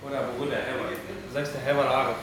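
A man whose first language is Kurdish, a passer-by speaking halting, broken German. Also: medium pitch, flat delivery, noisy background. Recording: echoey street sound from far off.

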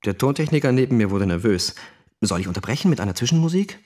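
An actor playing an earnest, critical radio trainee, reflective and sardonic in an audio drama monologue. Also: male voice, medium pitch, big pitch swings, quick pace, darkish tone. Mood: keen, sardonic. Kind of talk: narrated monologue in a German audio play.

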